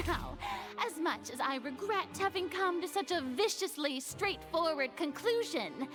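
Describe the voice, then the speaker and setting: A woman voicing a pompous, self-assured young female prosecutor in a video game, with grandiose, formal delivery. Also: very high-pitched. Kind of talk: dramatic video game voice acting.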